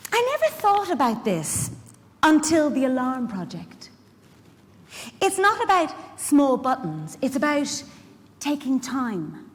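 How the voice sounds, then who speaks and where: A woman's voice: high-pitched, exaggerated voice. An actress playing a busy, indignant care worker and mother in a chatty, emphatic stage monologue. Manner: chatty, emphatic, confiding asides to the listener. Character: Stella, busy, warm-hearted, indignant working mother and community care worker. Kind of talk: dramatic monologue reading performed live. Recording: echoey hall, live stage reading.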